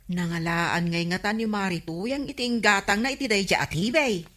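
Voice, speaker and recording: An actress playing a nosy, gossiping village neighbour in an Ilocano radio comedy drama, with an Ilocano accent. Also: female voice, mid-range voice, brisk, a bit bright, close to an everyday voice. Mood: gossipy, envious.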